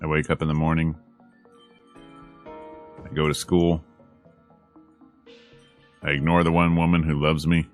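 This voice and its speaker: A man's voice: extremely low pitch, little pitch movement, unhurried, pauses often, clean tone. A man reading a visual novel aloud as a streamer, dramatic in delivery with wry, joking asides, over game music. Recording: music behind the voice.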